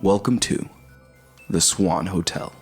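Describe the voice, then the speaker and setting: A man giving measured, theatrical scripted narration for a radio drama anthology. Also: low voice. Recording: loud music under narration.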